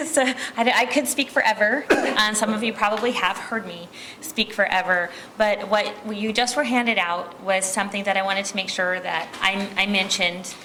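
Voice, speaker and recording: An American woman addresses a public board meeting as a presenter. Her voice is bright and forward at a middle pitch, and her delivery is conversational and halting. There is faint noise behind her in the meeting room. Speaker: water district manager giving a presentation. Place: meeting room, speaking at a podium.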